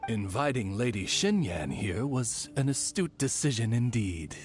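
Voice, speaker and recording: Courtly raven butler with swooping inflection. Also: male voice, mid-range voice, very animated, measured pace. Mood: smoothly deferential. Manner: formal, ornate butler-like diction. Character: dignified, courtly talking raven retainer, suave and deferential. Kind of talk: animated video game voice acting. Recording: game music behind the voice.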